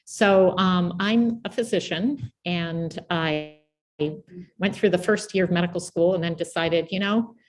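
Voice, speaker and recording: A soft-spoken middle-aged woman with a quiet voice, giving a friendly, informal public science talk as guest speaker. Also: lowish voice, measured pace, poor audio.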